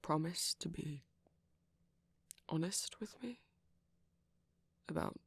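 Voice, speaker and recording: A woman voicing a shy, gentle young male vampire boyfriend in an ASMR roleplay, speaking breathily and hesitantly with small stammers. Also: deep voice, expressive, takes it slowly, vocal fry, husky, exaggerated voice. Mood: nervous, tender. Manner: hesitant, stammering, softly whispery. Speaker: audio roleplay performer.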